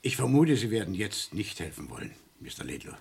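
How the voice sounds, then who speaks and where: Older colonel uncle type, husky n gravelly, rambles politely, old radio play. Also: male voice, on the low side, sing-song, fairly quick, darkish tone, heavily acted. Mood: genial, rambling. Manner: rambling, hesitant, repeats "ja". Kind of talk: German crime radio play acting.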